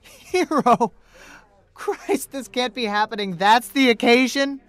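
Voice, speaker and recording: A man's voice: extremely high pitch, slow pace, fairly bright tone, full character voice. An actor playing a mafia hitman who poses as a rookie police officer in a radio drama, slyly calculating. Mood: nervous, incredulous. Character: mafia hitman posing as nervous rookie cop, slyly menacing.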